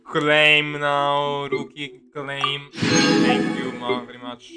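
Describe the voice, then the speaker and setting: Rambling male game streamer over loud music. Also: fairly high pitch, completely flat delivery, very slow. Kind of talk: casual gameplay commentary.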